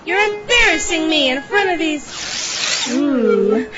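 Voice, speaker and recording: A woman voicing a domineering, disdainful robot wife in an audio comedy, scolding in a deliberate way. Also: sits high, animated, exaggerated voice. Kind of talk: audio comedy drama acting. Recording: muffled audio under loud music.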